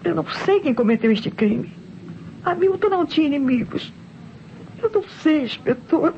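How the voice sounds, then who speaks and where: An actress playing a distressed Brazilian widow and mother testifying in an old radio mystery, in a dramatic, halting manner. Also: high voice, animated, warm tone. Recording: muffled, noisy old radio recording.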